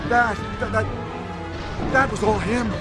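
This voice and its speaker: An actor playing a cowardly politician who stammers and begs in panic. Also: male voice, high-pitched, unhurried, over loud music. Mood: panicked. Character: cowardly male politician begging for his life.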